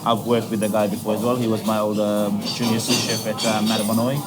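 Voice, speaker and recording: Relaxed man from Fiji over music. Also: on the low side. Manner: relaxed, many fillers and pauses. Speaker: chef, podcast guest. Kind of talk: casual interview chat. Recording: music playing behind.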